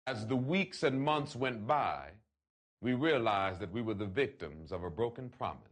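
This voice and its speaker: A man reads a written letter aloud in a solemn, oratorical way, with deliberate phrasing and emphatic pauses. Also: on the low side, expressive. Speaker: reader of a letter.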